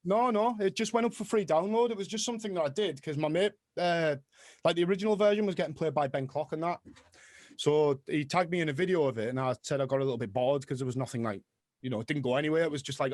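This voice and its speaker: A young man from Middlesbrough with a Teesside accent, a music producer chatting as a guest in an interview, rapid, self-mocking and quick to laugh. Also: medium pitch, a little mellow, dry recording, clean studio sound. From Middlesbrough, England. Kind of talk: casual interview chat.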